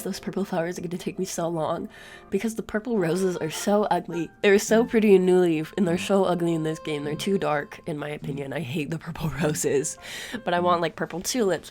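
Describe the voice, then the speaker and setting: A woman's voice: lowish voice, darkish tone. A young woman with a congested, sore-throated voice, chatting casually in a voice-over about her game at a home mic. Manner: chatty, rambling, tired. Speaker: gaming YouTuber. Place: home room. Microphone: desk mic, recorded at her chair.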